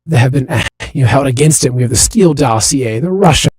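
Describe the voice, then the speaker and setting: Hoarse raspy man hosting a show. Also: mid-range voice, expressive, fast, darkish tone, no echo, clean studio sound. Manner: loose, filler-heavy delivery. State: hoarse, recovering from illness. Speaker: show host. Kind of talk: hosted talk show monologue.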